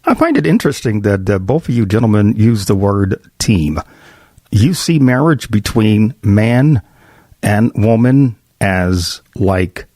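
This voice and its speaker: A middle-aged man hosting a roundtable conversation as a pastor, speaking deliberately and haltingly, often repeating his words. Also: mid-range voice, a little mellow, no echo, clean studio sound. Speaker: host, pastor.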